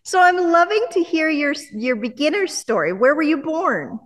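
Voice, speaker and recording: A woman's voice: high-pitched, big pitch swings, moderate pace. Upbeat Canadian woman hosting an interview. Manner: upbeat, chatty. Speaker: interview host. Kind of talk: casual interview. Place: renovated church music venue.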